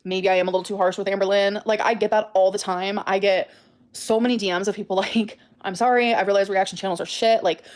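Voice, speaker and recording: A woman with a medium-pitched voice gives a casual update to her online followers. She talks emphatically, circling back and repeating phrases to press her point. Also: fairly even intonation, quick pace, a little mellow. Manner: emphatic, repetitive. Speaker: YouTuber.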